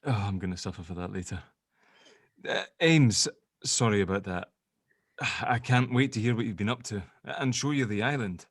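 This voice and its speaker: A man's voice: fairly low pitch, normal speed, no echo, studio quality. A man playing an affectionate, awkward long-lost father, teasing and flustered, in a drama table read. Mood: relieved, sheepish.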